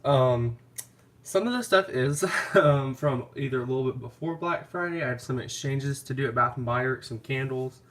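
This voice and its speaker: Man, nasal, congested sinuses, mid pitch, hesitant, rambling, casual home vlog. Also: normal speed, fairly bright tone. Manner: rambling, hesitant, full of ums. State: stuffy nose from clogged sinuses. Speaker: candle vlogger.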